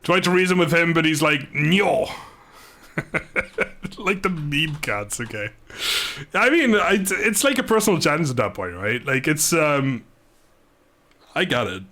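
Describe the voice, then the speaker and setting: A man's voice: fairly high pitch, lively intonation, unhurried, long pauses, sharp tone. A man casually commentating a video game on a live stream, chatting with viewers in a rambling, good-humoured way.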